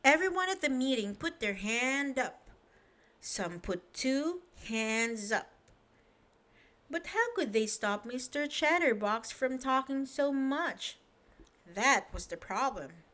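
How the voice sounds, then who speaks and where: A woman reading a children's story aloud at bedtime, lively and expressive, pausing to ask the listener questions. Her voice sits a little high and she takes an unhurried, slow pace.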